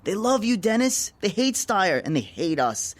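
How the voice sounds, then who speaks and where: A man's voice: sits high, very animated, normal speed, slight background noise. An actor playing a slick, needling executive held captive, sly and persuasive as he negotiates. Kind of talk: audio drama acting.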